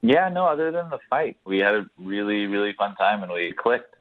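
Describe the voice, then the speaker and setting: A man's voice: fairly low pitch, sing-song, moderate pace, dry recording. A man calling in to a radio show, telling his story casually and a little hesitantly, good-natured. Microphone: telephone. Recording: thin, narrow phone line.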